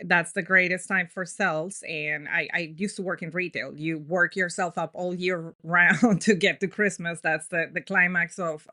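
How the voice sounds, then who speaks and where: A woman's voice: on the low side, sharp tone. A woman from Colombia, a therapist, hosting a casual podcast conversation, chatty and emphatic with frequent laughs.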